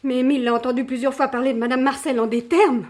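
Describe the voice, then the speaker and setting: A high-voiced young waitress, played by an actress in a French mystery drama. She is anxious and earnest, stressing her words as she pleads and defends someone she loves. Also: fairly even intonation, fast talker, a little mellow, lightly acted. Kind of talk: French radio mystery play acting.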